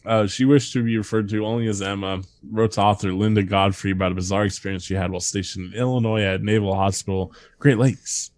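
A man's voice: fairly low pitch, fairly even intonation, fast, few pauses, a little mellow. A man hosting a comedy podcast, reading strange stories aloud and breaking into laughter and asides. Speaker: podcast host reading a countdown list.

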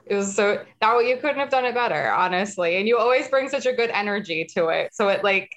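A woman guest in a casual interview, talking in a calm, even way that she calls monotone. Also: mid-range voice, a little fast, muffled recording, a little hiss or hum. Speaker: guest, marketing specialist.

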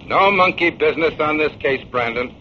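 An actor playing a gruff, irritable police inspector in a 1940s radio drama, speaking in a curt, dismissive way. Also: male voice, fairly high pitch, moderate pace, close to an everyday voice. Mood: irritated, stern. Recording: muffled, noisy 1940s radio recording.

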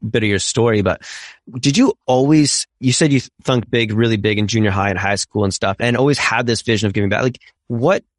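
A man's voice: fairly low pitch, fairly quick, no room sound, studio quality. A man hosting a podcast, asking loosely phrased, enthusiastic questions. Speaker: podcast host. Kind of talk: interview questions.

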